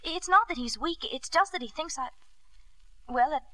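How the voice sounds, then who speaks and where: Earnest, bright-voiced young wife. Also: female voice, sits high, normal speed, no room sound. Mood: hurt, hopeful. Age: young adult. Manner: hesitant, polite, earnest. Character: earnest, vulnerable but proud young wife. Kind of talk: 1950s radio drama acting.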